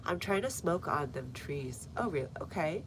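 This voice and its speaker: A woman's voice: low voice, sing-song, normal speed, noisy background. A woman with a stuffy nose chatting casually on a live stream from her garden, reading viewer comments aloud between fillers. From United States. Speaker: gardening livestreamer. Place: outdoor front garden.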